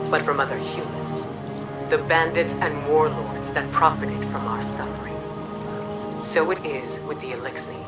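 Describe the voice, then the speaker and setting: A dignified, compassionate leader in a video game, addressing her city. Her low voice is measured and earnest, heard from a distance under loud music. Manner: measured, earnest public oratory. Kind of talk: cinematic video game speech. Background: loud game soundtrack.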